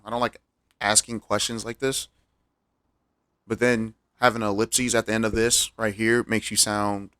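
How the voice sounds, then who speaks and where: A man's voice: on the low side, gravelly, a bit bright, no room sound. A man giving casual livestream commentary on a game, musing and hesitantly thinking aloud into a close mic. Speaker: game streamer. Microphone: close streaming mic.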